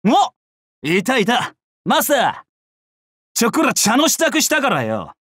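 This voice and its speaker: Brash, swinging-pitched samurai brute. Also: male voice, huge pitch swings, measured pace, fairly bright tone, no room sound, clean studio sound, heavily acted. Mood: boisterous, gleeful. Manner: rough, swaggering delinquent-like speech. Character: brash, bloodthirsty, boisterous young male samurai warrior. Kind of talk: Japanese mobile game character voice lines.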